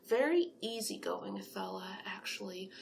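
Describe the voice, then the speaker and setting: Creaky-voiced gushing matchmaker, played by a woman. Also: medium pitch, lively intonation, measured pace. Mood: chatty, encouraging. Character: chatty, encouraging female monster matchmaker pitching candidates to a client. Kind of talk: horror roleplay ASMR, one-sided conversation.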